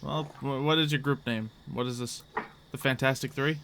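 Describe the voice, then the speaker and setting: A man's voice: medium pitch, steady tone, moderate pace, a bit bright, slight background noise. A man acting as game master, hosting and narrating a casual tabletop roleplay session with playful banter.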